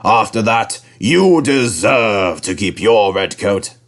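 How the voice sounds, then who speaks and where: A man's voice: on the low side, animated, takes it slowly. A man voicing an authoritative but kindly male railway controller in a storybook reading, firm and approving.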